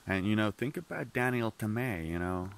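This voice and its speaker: A man's voice: lowish voice, lively intonation, moderate pace, creaky. A man rambling in a candid, profane monologue, full of restarts and detours, with Finnish as his first language. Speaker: vlogger.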